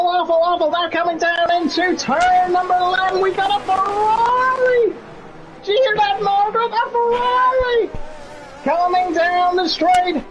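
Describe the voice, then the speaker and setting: Brief: loud folksy Southern lawman, extremely high-pitched shouty race commentary, noisy recording. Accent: put-on Southern US. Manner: shouty, folksy, bombastic drawl. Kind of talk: in-character sim racing commentary.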